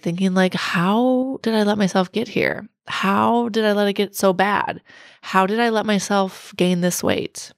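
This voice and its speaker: Raspy-voiced woman podcast host. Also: medium pitch, dry recording, studio quality. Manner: earnest, reflective coaching tone. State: raspy from a cold. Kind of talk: solo podcast talk.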